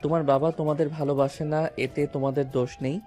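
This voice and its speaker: A man's voice: on the high side, little pitch movement, fast, warm tone, close to an everyday voice. A man acting a supportive, protective young lover in an audio story, speaking in an earnest, reassuring way. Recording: music behind the voice.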